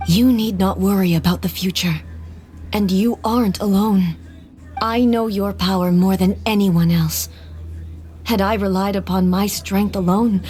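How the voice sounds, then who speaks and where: A woman playing a solemn, dignified warrior deity in scripted video game dialogue, formal and measured. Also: mid-range voice, a little mellow, lightly acted.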